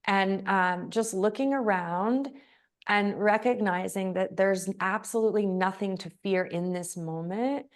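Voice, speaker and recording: A woman hosting an interview, sharing a personal story in a gentle, halting way with frequent pauses. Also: mid-range voice. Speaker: podcast host.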